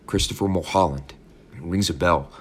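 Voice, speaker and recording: A man's voice: deep voice, moderate pace, slightly rough. A man doing a polite young lodger. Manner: polite and bright.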